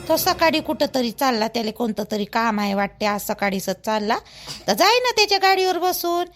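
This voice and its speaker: Woman voicing a high-pitched, chatty village housewife, Varhadi accent. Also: very animated, brisk, a bit bright. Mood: helpful, coaxing. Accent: Varhadi Marathi, Vidarbha. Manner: colloquial, exclamatory, chatty. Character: chatty older village housewife, helpful, nosy. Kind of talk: rural family cartoon dialogue.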